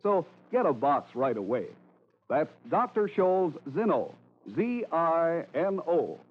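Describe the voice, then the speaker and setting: A male announcer in the formal style of 1940s radio. His slightly high voice swings widely with polished, scripted salesmanship. The sound is thin and tinny, like an old broadcast. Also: very animated, takes it slowly.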